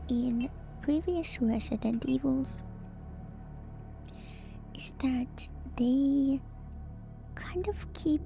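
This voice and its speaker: A woman streamer giving soft, breathy, often whispered ASMR commentary on a horror game, musing and pausing often to think, close to the mic. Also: high voice. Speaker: streamer, virtual YouTuber host.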